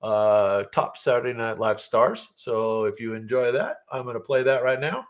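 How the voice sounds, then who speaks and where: A man's voice: medium pitch, moderate pace. An older man hosting a live trivia night, chatty and jokey, reading clues with playful drama and laughing often. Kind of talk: live-streamed trivia chat with viewers. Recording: music playing under the voice.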